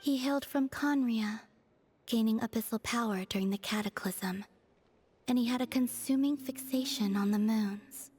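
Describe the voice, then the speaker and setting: A woman voicing a serene, aloof young moon goddess in a video game, speaking softly in a measured, formal, deadpan way. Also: a little high, close to an everyday voice. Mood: pensive. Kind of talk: English video game dialogue acting. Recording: faint music behind the voice. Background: faint background music.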